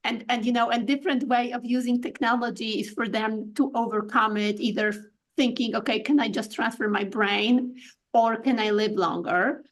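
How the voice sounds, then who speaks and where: A woman's voice: on the high side, fairly even intonation, moderate pace. A woman from Poland with a Polish accent, a guest on a podcast interview, speaking thoughtfully with many fillers and restarts. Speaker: guest artist.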